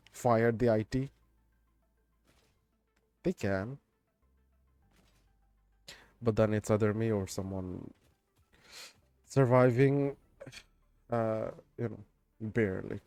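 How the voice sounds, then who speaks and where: A man streaming a game, chatting in a laid-back, rambling way with lots of fillers, his voice a bit raspy. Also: on the low side, takes it slowly, long pauses, a little mellow, no room sound, soft background music. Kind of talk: casual game stream chat.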